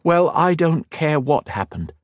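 A man voicing Kat, a warm, devoted young woman in a fantasy audiobook. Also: on the high side, very animated, measured pace, no room sound, voice pushed up. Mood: fond.

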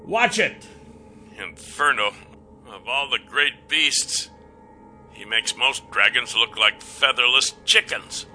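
A man's voice: medium pitch, animated, slow, sharp tone, faint music behind, exaggerated voice. A man voicing a bold, protective dragon-scaled hero in a storybook, earnest and brash. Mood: bold, urgent.

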